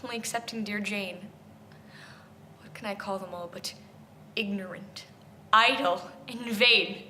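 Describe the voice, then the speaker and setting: Woman performing a bright, high-voiced proud Regency gentlewoman. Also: expressive, a little hiss or hum. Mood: ashamed, indignant. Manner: formal, measured, self-reproaching declamation. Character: proud, humbled young Regency gentlewoman ashamed of her folly. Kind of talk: theatrical stage monologue.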